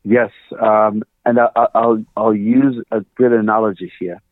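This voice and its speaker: A man's voice: lowish voice, little pitch movement, normal speed, dry recording, phone-quality sound. A man with a Ugandan accent, a guest earnestly explaining his views with examples and quoted questions. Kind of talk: conversational interview.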